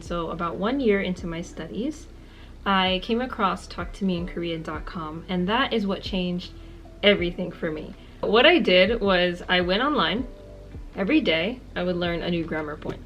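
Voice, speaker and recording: Woman, 20s, casual vlogger, slightly low voice, sincere and upbeat, faint background music. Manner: friendly, earnest, repeats words for emphasis. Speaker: language-learning vlogger. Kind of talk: casual talk to camera, giving tips.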